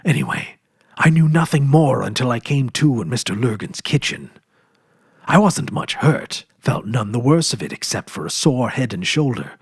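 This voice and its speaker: A man voicing a hearty grown brother just back from prospecting, telling his tale in a husky, lively voice. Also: medium pitch, normal speed, no echo, clean studio sound, heavily acted.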